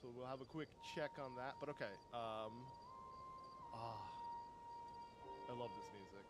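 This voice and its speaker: A man's voice: medium pitch, a little slow, loud music behind the voice. A young man casually commenting on a live game stream, rambling and correcting himself with little chuckles into a desk microphone.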